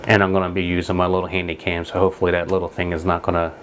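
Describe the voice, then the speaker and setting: A man's voice: low voice, completely flat delivery, very fast, fairly bright tone, a little hiss or hum. A man in his forties with a stuffy nose from a cold, casually demonstrating camera gear with lots of fillers and restarts. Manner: rambling, filler-heavy, restarts. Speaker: photography vlogger. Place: home studio room with monitors.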